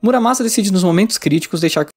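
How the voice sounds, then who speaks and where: A man's voice: sits high, animated, racing, slightly rough. A Brazilian man narrating a scripted review as a video essayist, informal and opinionated. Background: background music.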